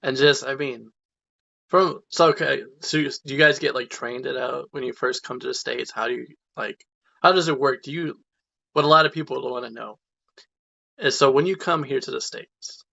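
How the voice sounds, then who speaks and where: A man hosting an interview podcast with a gravelly, forceful edge to his speech. He sounds lively and eager, chatting as he draws out his guest. The sound is a little dull and lo-fi. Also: mid-range voice, moderate pace. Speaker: podcast host.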